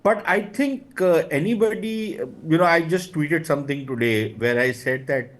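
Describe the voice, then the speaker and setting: A man's voice: on the high side, moderate pace. A man with an Indian accent speaking as a guest analyst, conversational and wry, in stop-start phrases. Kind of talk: conversational political commentary.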